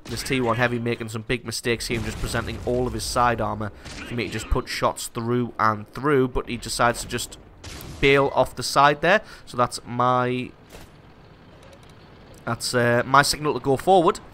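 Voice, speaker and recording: A man with a bright, forceful voice and a stuffy, congested nose from a cold talks through his own match as host of a gaming channel. He explains his moves in a casual, self-deprecating way. A faint hiss sits under his voice. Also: medium pitch, steady tone, normal speed. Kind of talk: gameplay commentary.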